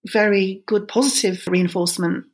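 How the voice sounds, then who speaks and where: A woman's voice: medium pitch, steady tone, moderate pace, husky. A woman hosting a podcast, talking casually and explaining at length to her guest. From Germany. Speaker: podcast host. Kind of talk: casual conversational interview.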